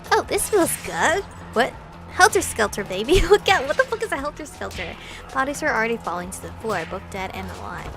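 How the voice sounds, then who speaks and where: Girl playing a visual novel, kinda high voice, reads lines out and swears, slangy, soft music in back. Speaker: host of a visual novel playthrough. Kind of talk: casual gaming commentary mixed with reading story text aloud. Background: background music.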